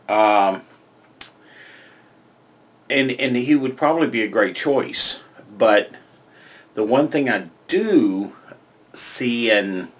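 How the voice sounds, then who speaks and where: Older guy from the states rambling at his webcam, lowish voice, lots of um and you know. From United States. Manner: rambling, repeats words, many fillers. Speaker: vlogger giving political opinions. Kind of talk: casual monologue to camera. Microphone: webcam framing, head and shoulders.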